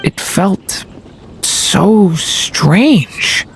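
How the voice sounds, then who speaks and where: An actor playing an earnest, gentle young man in a video game, unsure of himself, hesitant and reflective. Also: high-pitched, animated, drawn-out words, creaky, music in the background, full character voice, rough recording. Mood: troubled, reflective. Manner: hesitant, soft-spoken, reflective. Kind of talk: video game character acting.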